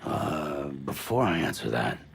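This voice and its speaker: A man playing a terse, guarded and troubled courier in a game cutscene, speaking haltingly in a gravelly voice. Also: very deep voice, sing-song, a little hiss or hum, heavily acted. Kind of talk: scripted game cutscene dialogue.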